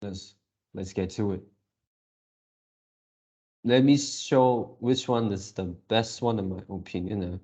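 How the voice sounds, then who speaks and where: Slow-paced man giving casual reaction commentary. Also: fairly low pitch, dark tone. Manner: halting, repeats words and phrases. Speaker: reaction video host.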